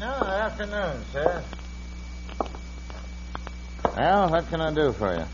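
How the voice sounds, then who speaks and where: Shrewd western lawyer, muffled old radio. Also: male voice, fairly high pitch, big pitch swings, normal speed. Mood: guarded. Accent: American. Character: shrewd, cautious, corruptible small-town western lawyer. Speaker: radio actor. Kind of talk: old-time western radio drama acting. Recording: dull, faintly noisy old radio recording.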